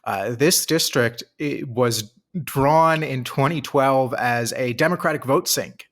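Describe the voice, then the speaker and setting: A man's voice: mid-range voice, slow, fairly bright tone. Man explaining politics on a podcast. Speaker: podcast co-host, political analyst.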